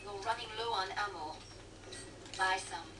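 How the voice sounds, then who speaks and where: A woman's voice: medium pitch, measured pace, natural voice. A poised, teasing arms trader from a video game , played by a woman. Her voice comes from far off through a television, echoey and covered in noise. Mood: brisk, encouraging. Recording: distant, echoey, noisy television speaker capture.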